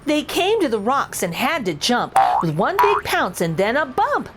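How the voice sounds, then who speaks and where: A woman's voice: sits high, very animated, normal speed, fairly bright tone, slight background noise. A woman reading a children's picture book aloud expressively, with little asides to young listeners. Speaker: children's story reader and host.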